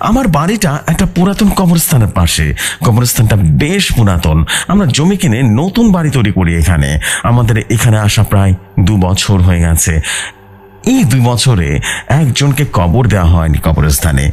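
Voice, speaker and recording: Man, horror story narrator, lowish pitch, very animated intonation. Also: husky. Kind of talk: scripted first-person ghost story narration.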